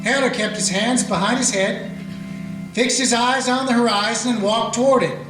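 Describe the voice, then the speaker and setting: Man doing a folksy old-west sheriff, highish voice, echoey room with a bit of music. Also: moderate pace, fairly bright tone. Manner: folksy, dry. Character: weary small-town male sheriff, dry humor. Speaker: sheriff and narrator. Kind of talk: radio play narration and acting.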